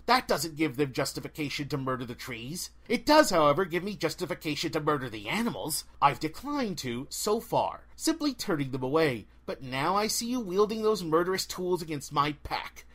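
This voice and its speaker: A man voicing a haughty, merciless female forest spirit, speaking in slow, disdainful pronouncements in a fantasy storybook. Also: a little high, sing-song, a bit bright. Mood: menacing.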